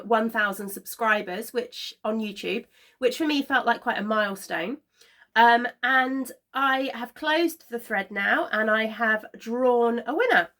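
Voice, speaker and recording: A woman in her thirties hosting a knitting podcast, chatting casually to camera from her sofa at home, slightly congested from a cold and filling pauses with frequent ums. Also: a little high, bright, forceful tone. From Scotland. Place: living room at home, seated on a sofa.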